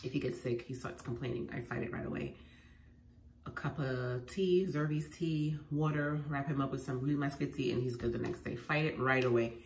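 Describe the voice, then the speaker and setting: A woman with a head cold, sounding congested, sharing home remedy tips in an animated, emphatic vlog at home. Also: rumbling low, normal speed. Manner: animated and emphatic, repeating phrases. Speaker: lifestyle vlogger. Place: at home indoors. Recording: echoey room, faint noise.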